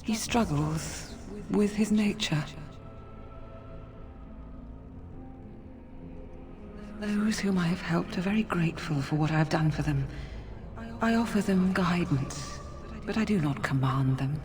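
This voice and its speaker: A woman plays a regal lady spirit of the forest in a fantasy game. Her voice is breathy and ethereal, with measured, solemn phrasing. Music plays clearly beneath it. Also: on the low side, natural voice. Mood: conciliatory. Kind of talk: fantasy video game acting.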